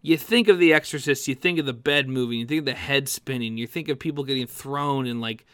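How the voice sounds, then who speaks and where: A man in a casual, animated film discussion, amused and conversational, close on the microphone. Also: mid-range voice, brisk, clear, resonant tone, no echo. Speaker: film podcast host.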